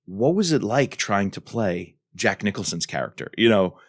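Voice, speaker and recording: A man with a fairly low voice co-hosts a podcast. He talks casually, with enthusiasm and emphasis, in a dry, close-sounding space. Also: animated, moderate pace, dry recording. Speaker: podcast co-host. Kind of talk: casual discussion.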